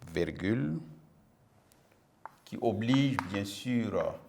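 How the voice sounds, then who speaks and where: A man's voice: lowish voice, animated, drawn-out words, vocal fry, background noise. A male history teacher, giving a didactic lesson, dictating and repeating phrases with frequent check-in tags. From Burkina Faso. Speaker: middle school history teacher.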